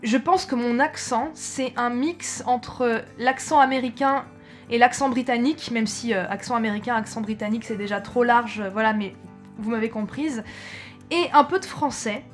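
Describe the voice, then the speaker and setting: Young French woman vlogger, chatty and hesitant, slightly high voice, faint music underneath. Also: moderate pace. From France. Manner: chatty, self-correcting, frequent hesitations. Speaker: travel vlogger. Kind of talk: casual chat to camera.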